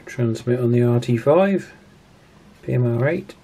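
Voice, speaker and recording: A man's voice: mid-range voice, expressive, measured pace, mellow, husky. A man giving a casual demonstration in short test phrases. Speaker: maker testing a radio. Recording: very noisy, with clicks.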